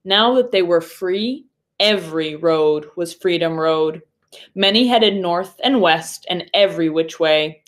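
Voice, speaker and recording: Female primary teacher for children's read-aloud, lowish voice, very slow, instructive delivery. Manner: deliberate, instructive phrasing aimed at young pupils. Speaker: third grade teacher.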